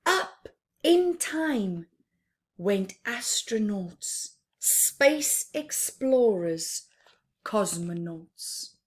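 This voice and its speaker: Slow, deliberate woman reading to children. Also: medium pitch, very animated, breathy edge. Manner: deliberate phrasing with pauses, often dropping to a hushed near-whisper. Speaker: children's story time host reading a picture book aloud. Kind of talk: expressive read-aloud for young children, with chatty asides.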